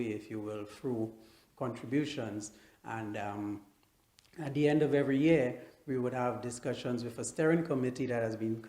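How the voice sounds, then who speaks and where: A man giving unscripted interview remarks, halting and repeating phrases. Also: mid-range voice, mellow. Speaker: executive director interviewee. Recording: echoey room.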